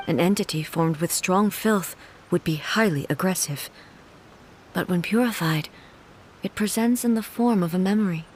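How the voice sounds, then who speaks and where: A dignified, all-powerful shogun acted by a woman for a video game, with a middle-pitched voice. She is calm, formal and measured, every phrase composed. Faint noise sits behind her. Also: close to an everyday voice. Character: composed, dignified female shogun of godlike authority.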